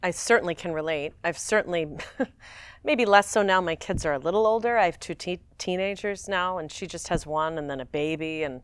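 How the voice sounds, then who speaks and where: A woman in her forties, an actress guest, talking in a rambling, animated way and laughing often. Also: lowish voice, normal speed, clear, resonant tone, no echo. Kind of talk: casual interview chat.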